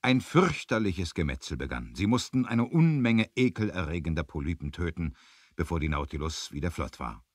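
A man narrating a scripted 1970s adventure audio drama, measured, with dramatic emphasis. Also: low voice, expressive, no echo, clean studio sound.

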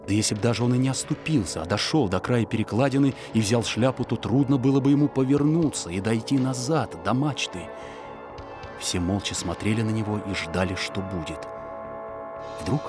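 A man reading a story aloud as an audiobook narrator, in an expressive, theatrical manner. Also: mid-range voice. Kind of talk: dramatic scripted story narration.

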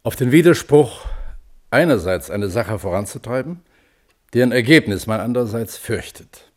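A man's voice: medium pitch, expressive, normal speed, a little mellow, lightly acted. A man playing an exacting, sceptical examiner in a German radio play, questioning in a precise, formal way. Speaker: questioner at a hearing.

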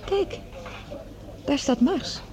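An actress playing an earnest, vulnerable scientist on a Mars project in a Dutch science fiction radio drama. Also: female voice, high-pitched, animated, very slow, warm tone, heavily acted. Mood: tender, grateful.

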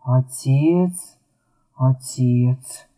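A woman's voice: very deep voice, extremely slow, warm tone, dry recording, voice pushed down, heavily acted, low-quality recording. A woman voicing a puzzled, grumbly schoolboy of about nine in a storybook, with colloquial muttering and sighs. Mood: grumbly, annoyed.

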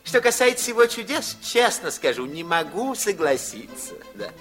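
An actor playing an envious senior official in an old radio play, oily and falsely chummy. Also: male voice, sits high, sing-song, moderate pace, a bit bright. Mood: sneering, dismissive. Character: envious male senior councillor, slyly chummy villain. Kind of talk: 1960s Soviet radio play acting. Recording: old radio drama with music.

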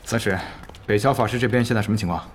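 A man's voice: on the low side, racing, a little hiss or hum, lightly acted. An actor playing a hot-tempered, bossy male gaming guild president in a Chinese audio drama. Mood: stern, composed. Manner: bossy, excitable, swings from calm to outbursts.

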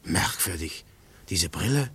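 A fairly deep male voice with a husky grain. It is an observant newcomer in a radio play, reflective and full of wonder. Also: animated, measured pace, a bit bright, heavily acted. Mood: wondering, curious. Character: observant, fascinated male newcomer among conspirators, narrating. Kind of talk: German radio play acting.

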